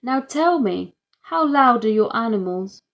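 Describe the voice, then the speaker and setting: A woman's voice: on the high side, animated, a little slow, vocal fry, dull audio, voice pushed up. A woman voicing an officious, insistent woman council inspector in a children's audiobook. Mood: brisk, inquiring. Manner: bossy, insistent.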